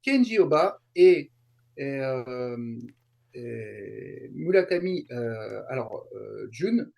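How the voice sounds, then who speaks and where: A man chatting casually as an interview guest, explaining in halting, repetitive phrases. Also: medium pitch, sing-song, creaky, darkish tone. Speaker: guest, translator and YouTuber. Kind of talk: casual interview chat about a fan event.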